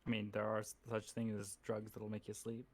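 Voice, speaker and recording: A man playing a tabletop game, working out a plan aloud with many pauses and restarts. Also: lowish voice, monotone, creaky, darkish tone. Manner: halting, full of fillers and restarts while planning. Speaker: player.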